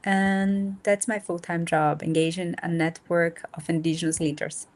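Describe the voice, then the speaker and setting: A woman in her thirties from Brazil, with Portuguese as her first language, talking casually as a guest on a video call and pausing often to find her words. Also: fairly low pitch, little pitch movement. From north and northeast Brazil. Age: 30s. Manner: thoughtful, searching for words with fillers. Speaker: guest, nonprofit founder. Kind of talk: casual interview talk. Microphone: remote video call.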